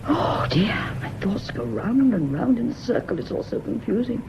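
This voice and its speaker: A woman's voice: medium pitch, very animated, normal speed, gravelly, in a whisper, over music, low-quality recording. An actress playing an anxious, haunted woman in a radio drama, fretful and brooding. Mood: anguished. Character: anxious, haunted woman in a secret love affair. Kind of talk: stage-style radio drama acting.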